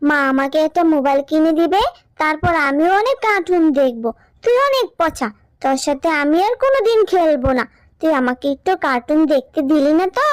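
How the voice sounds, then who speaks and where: A woman's voice: sits high, big pitch swings, brisk, dry recording. A woman voicing a whiny, insistent little girl who pleads and sulks in a fairy-tale cartoon. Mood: sulky.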